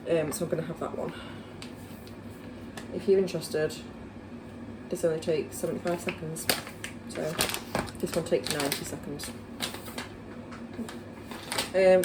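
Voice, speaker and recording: Young Yorkshire woman, casual rambling vlog chat, slightly low voice, echoey room with noticeable background noise. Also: recorded from a distance. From Yorkshire, England. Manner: chatty, rambling, with many fillers. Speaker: vlogger. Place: home kitchen, indoors.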